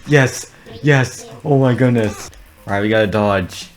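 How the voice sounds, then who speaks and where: A man's voice: fairly low pitch, unhurried. A man giving casual, amused and reactive gaming commentary, talking through what he does as it happens. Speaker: video creator narrating a game modding experiment. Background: background music.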